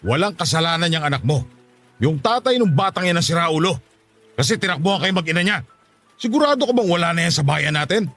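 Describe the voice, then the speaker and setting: An indignant, protective father with a high-pitched male voice. He rattles out his lines quickly and angrily in radio drama style. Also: expressive, faint music behind. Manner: indignant, forceful. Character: angry, protective father, hot-tempered. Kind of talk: Filipino radio drama acting.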